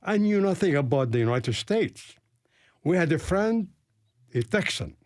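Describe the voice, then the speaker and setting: A man in his eighties with an Arabic accent, from Palestine and raised in Lebanon, recounting his life in an interview in a deliberate storytelling manner. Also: a little high, big pitch swings, a little mellow, dry recording, studio quality. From Palestine, grew up in Lebanon. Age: 80s.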